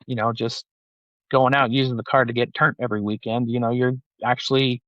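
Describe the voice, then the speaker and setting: A man's voice: medium pitch, flat delivery, fairly quick, no echo, muffled recording. A man in his forties from Utah, a banker chatting as a guest in a casual interview, rambling with lots of filler words. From Utah, USA. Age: 40s. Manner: rambling, filler-heavy, many "like"s.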